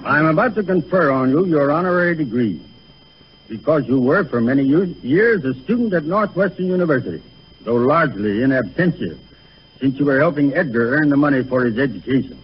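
A man speaking as a dean in formal, mock-ceremonial 1930s radio style, with measured oratory. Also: mid-range voice, lively intonation. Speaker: university dean, guest. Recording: muffled, noisy 1930s radio broadcast.

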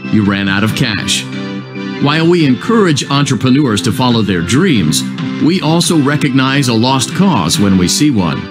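An actor playing a cheery, smug company announcer who delivers insults like a salesman's congratulations in an upbeat cartoon narration. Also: mid-range voice. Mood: mock sympathy.

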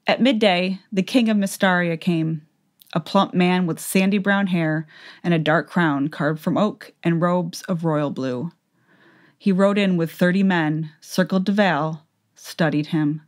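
A woman narrates a fantasy story as in a dramatic audiobook. Her voice is pitched low. The delivery is scripted storytelling. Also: fairly even intonation, a little slow.

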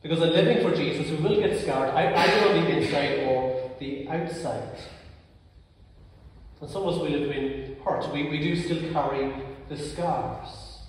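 Earnest preacher with a stammer, echoey church. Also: male voice, fairly high pitch, recorded from a distance, slight background noise. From Northern Ireland. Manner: earnest, personal preaching with slow emphatic pauses. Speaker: clergyman. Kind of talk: sermon. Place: large church sanctuary, indoors. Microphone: far camera.

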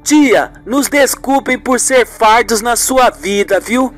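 A woman's voice: on the high side, slow pace, soft background music. A woman playing a sensitive, earnest young nephew in an amateur radio soap. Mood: apologetic.

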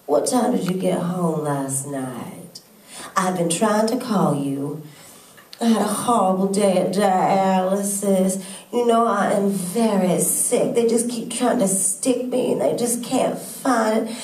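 A woman playing a sick, weary mother who scolds and guilt-trips in a slow, plaintive way in a stage monologue. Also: mid-range voice, a little mellow, natural voice. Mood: reproachful, self-pitying. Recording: echoey room, distant microphone.

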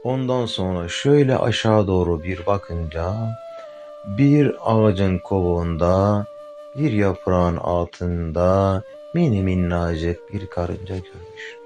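Deep voiced guy telling a kids fairy tale, slow and singsong, soft flute in the back. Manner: gentle, singsong, addressing a child. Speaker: storyteller. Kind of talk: bedtime fairy-tale narration. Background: faint flute music.

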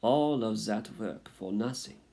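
A vain French mother mouse from a storybook, acted out by a man, pitched well up from his own voice. She laments theatrically in broken phrases, loudly self-pitying, with big dramatic swings. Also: sing-song, slow pace, gravelly, heavily acted. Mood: disappointed, lamenting.

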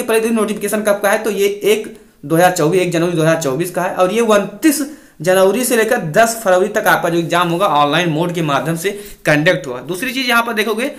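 A man's voice: sits high, a bit bright, reverberant. A young man giving an informal, instructive explainer to students, repeating key points. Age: young adult. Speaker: exam-news YouTuber and coach. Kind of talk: informal explainer addressed to exam aspirants.

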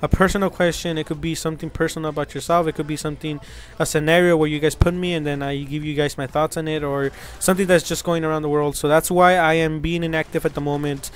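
A man's voice: a little high, little pitch movement, fast, a little hiss or hum. A young man from Mexico, hosting his gaming channel, talking casually and earnestly over gameplay, rambling with many fillers and restarts. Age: young adult.

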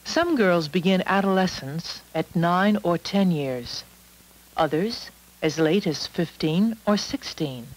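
A woman reading scripted narration for an educational film in a measured, instructional manner. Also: fairly low pitch, expressive, rough recording.

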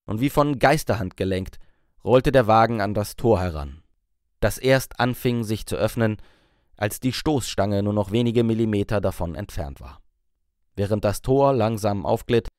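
A man's voice: lowish voice, no echo, studio quality. A man reading a story aloud as an audiobook narrator in scripted storytelling style.

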